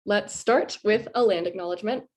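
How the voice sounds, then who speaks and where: A young woman speaks with a slight sniffle from a head cold. She delivers a prepared presentation in an earnest, measured way, with the odd um, at a middle pitch. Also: darkish tone. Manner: earnest and measured, with occasional ums. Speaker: graduating fellow presenting her service term.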